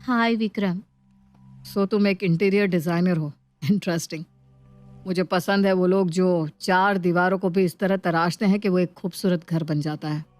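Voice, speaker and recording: A woman's voice: fairly low pitch, steady tone, normal speed, a little mellow, faint music behind, close to an everyday voice. A woman voicing a friendly, self-conscious young woman who mixes English into chatty Hindi. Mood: friendly, interested.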